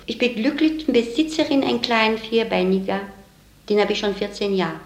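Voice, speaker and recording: A woman's voice: a little high, normal speed. A saleswoman giving a casual interview account of everyday life, recorded with a roomy sound. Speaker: department store saleswoman interviewee.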